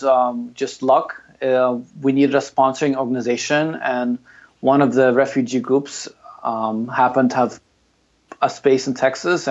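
A man in his thirties, from Ukraine, talks as a podcast guest in a relaxed storytelling way with easy fillers. His voice sits at a middle pitch. The recording is a little dull and lo-fi. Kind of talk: casual interview.

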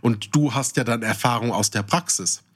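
A man's voice: mid-range voice, normal speed, husky. A man chatting casually as a podcast co-host, questioning and challenging the other speaker. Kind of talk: casual chat, probing discussion.

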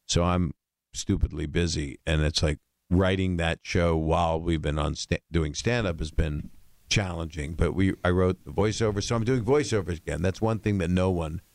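Older man, comedian guest, very low voice, gravelly, rambling joking, dry close sound. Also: fairly even intonation, normal speed, a little mellow, no echo. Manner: rambling, jokey storytelling. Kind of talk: casual interview chat.

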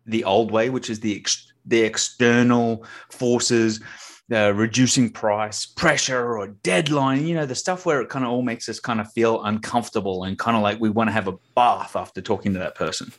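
Chatty Australian man. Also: fairly low pitch. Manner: chatty, many fillers, acts out quoted dialogue. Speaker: podcast guest. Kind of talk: casual interview chat.

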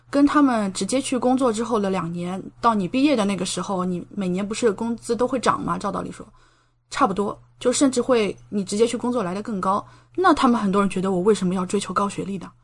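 A young woman from China, a doctoral student speaking as an interview guest, explaining things in a conversational way. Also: mid-range voice. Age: young adult.

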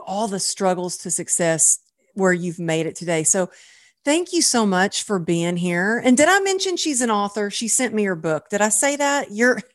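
A woman's voice: mid-range voice, no room sound. A middle-aged woman hosting a podcast as a mindset coach, speaking in an effusive, admiring manner. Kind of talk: podcast intro and interview.

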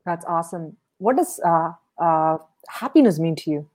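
A woman's voice: fairly low pitch, lively intonation, moderate pace, darkish tone. Upbeat woman podcast host. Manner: upbeat, brief affirmations. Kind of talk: interviewing a guest.

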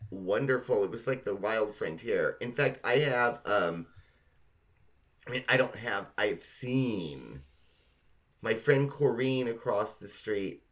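Unhurried middle-aged man, casual podcast co-host. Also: on the low side, lively intonation. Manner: informal, emphatic, sweary. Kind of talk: casual reminiscing chat.

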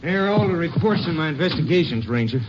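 A touchy small-town constable, played by a man in an old radio western, with a higher-than-usual voice and an agitated, defensive manner. The sound is muffled vintage broadcast audio with faint hiss. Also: animated, quick pace, lightly acted. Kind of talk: 1950s radio drama acting.

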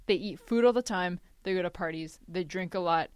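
A woman's voice: lowish voice, brisk, smooth, dry recording. A woman co-hosting a podcast with casual, playful comedic banter. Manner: playful, animated. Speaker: podcast co-host.

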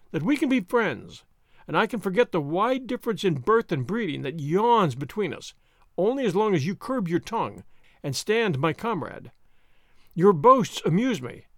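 Young male aristocrat, acted by a man reading, thin and high, polite yet haughty, for an adventure storybook. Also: dry recording, clean studio sound. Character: languid, proud young gentleman aristocrat, aged twenty-four.

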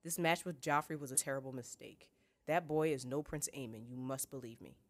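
A woman reading the part of a gentle, grave noble father in a novel, soft, measured and earnest. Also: extremely low pitch. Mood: gentle, firm.

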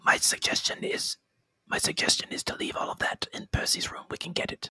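A man's voice: a little fast, pushed and bright, no echo. A man playing a tabletop game, whispering his plans. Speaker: player.

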